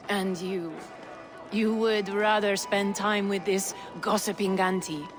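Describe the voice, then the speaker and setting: An actress playing a shrewd, wry, gossipy merchant in a video game. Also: female voice, medium pitch, fairly even intonation, slow pace, lightly acted. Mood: dry, gossipy. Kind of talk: game voice acting. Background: music.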